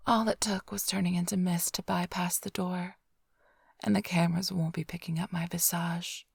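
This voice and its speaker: Woman playing a breathy, whispering vampire seductress. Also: lowish voice, little pitch movement, normal speed, dry recording, clean studio sound. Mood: hushed, appraising. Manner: teasing, drawling, seductive. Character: flirtatious, teasing, smug female vampire thief. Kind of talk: whispered ASMR roleplay. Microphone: close-up studio microphone, dry.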